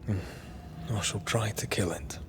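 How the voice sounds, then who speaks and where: An actor playing a tormented, war-haunted man devoted to his lover, speaking gravely and haltingly, often dropping to a murmur, in video game dialogue. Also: lowish voice, background noise. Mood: pensive. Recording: music under the voice.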